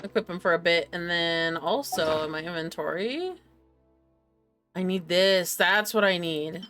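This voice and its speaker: A woman's voice: lowish voice, unhurried, a bit bright, faint music behind. A woman doing casual, slangy gameplay commentary as a streamer, often muttering repeated words to herself. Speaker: game streamer.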